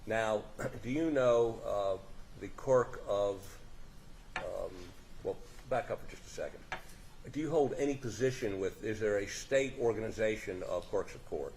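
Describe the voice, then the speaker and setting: A lower-pitched man questions a witness in court, stopping and restarting his sentences in a folksy manner. Faint room noise sits under his voice, picked up by a courtroom microphone. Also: unhurried, a little mellow. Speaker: defense attorney questioning a witness. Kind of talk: courtroom examination.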